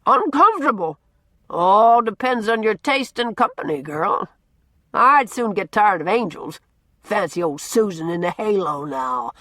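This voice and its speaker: Lady doing a gruff old guy in a storybook, booming, bossy, repeats himself a lot, dry close mic. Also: animated, measured pace, fairly bright tone, no echo. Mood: blustering. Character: blustering gruff old man, loud and bossy.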